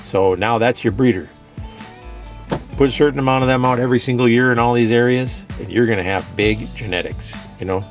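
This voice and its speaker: A man's voice: medium pitch, a little fast, faint music behind. A man hosting a radio show, talking in an emphatic, opinionated, casual way and leaning on "you know" and "guess what". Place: radio studio.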